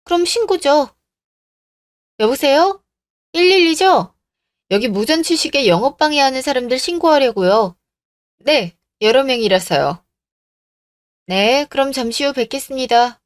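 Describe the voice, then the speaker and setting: Radio drama: woman plays plucky young daughter, assertive, sarcastic edge, higher voice, dry sound. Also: lively intonation, a little slow, pauses often, no echo. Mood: resolute. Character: bold, defiant young bride-to-be, plucky daughter. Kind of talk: radio drama story acting.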